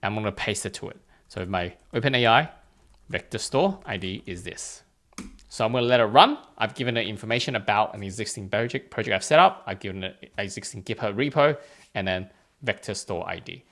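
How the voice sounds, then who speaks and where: A man's voice: fairly low pitch, brisk, fairly bright tone. A man hosting an instructional screencast, explaining things step by step in a plain, informal way. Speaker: tutorial host.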